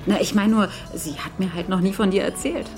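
Eine Schauspielerin spielt in einem Krimi-Hörspiel eine freimütige, neckende Floristin bei einer Verabredung. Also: female voice, mid-range voice, fairly even intonation, fairly quick, over music, close to an everyday voice. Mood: casual, curious. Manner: frank, teasing. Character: teasing, blunt woman florist on a date. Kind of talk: radio crime drama dialogue.

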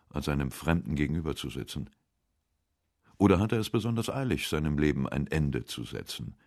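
A man narrating a literary radio essay from a script in a measured, serious manner. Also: a bass voice.

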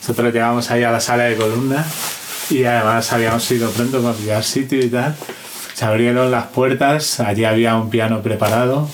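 A man's voice: medium pitch, steady tone, fairly quick, slight background noise. A man with an accent from Spain telling anecdotes casually and colloquially, checking in with little tag questions and acting out what people said. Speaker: interview guest, sound and music analyst.

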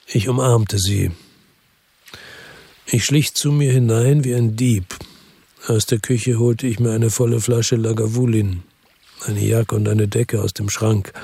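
An actor playing a world-weary, brooding middle-aged man who narrates a radio crime drama in terse phrases, his voice husky and gravelly. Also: mid-range voice, darkish tone, dry recording.

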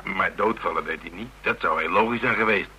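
An actor playing a gruff, sardonic policeman in a radio drama, talking colloquially. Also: deep voice, brisk, sharp tone. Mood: sardonic, agitated. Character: gruff, grudging Amsterdam policeman nicknamed the Dragon, shaken, sardonic. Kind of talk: Dutch radio drama dialogue. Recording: hummy, noisy old radio recording.

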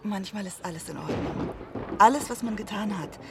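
An actress playing a thoughtful, passionate violinist who talks reflectively in a radio drama. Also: female voice, mid-range voice, moderate pace, close to an everyday voice. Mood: quietly excited. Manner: reflective, explanatory. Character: thoughtful, passionate female string quartet violinist. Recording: clear ambient noise behind.

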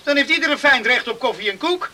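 Cocky high-voiced young suitor. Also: male voice, animated, fast talker, bright, forceful tone, full character voice. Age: young adult. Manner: cheerful, bold, teasing. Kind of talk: radio play acting. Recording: noisy old radio recording, clicks.

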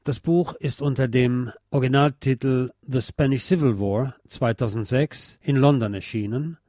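A man's voice: mid-range voice, moderate pace, mellow, no echo, studio quality. A man hosting a radio programme in speech, reading scripted historical narration aloud. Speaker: radio host.

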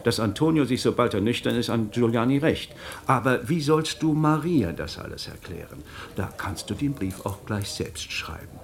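A man's voice: medium pitch, expressive, moderate pace, creaky. A man acting in a German radio play as a shrewd magistrate, theatrical and rhetorical, relentlessly and mockingly cornering a suspect. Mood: insinuating, accusing. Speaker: actor in a radio drama.

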